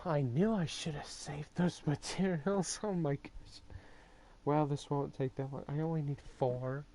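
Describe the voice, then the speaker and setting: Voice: medium pitch, steady tone, measured pace. A gamer chatting casually to themselves while playing, exclaiming and laughing. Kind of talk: casual let's-play commentary. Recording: game music loud behind.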